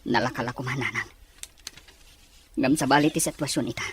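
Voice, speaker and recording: A man's voice: mid-range voice, brisk, fairly bright tone, slight background noise, lightly acted. A man playing a troubled grown son in a radio drama, earnestly airing his complaints.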